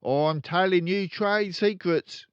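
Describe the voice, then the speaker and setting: A man voicing a crooked, boastful, bullying middle-aged father in a children's storybook, loud and emphatic. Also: high-pitched, slow, clear, resonant tone, no echo, lo-fi sound, pitched above the performer's own voice. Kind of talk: children's storybook read aloud.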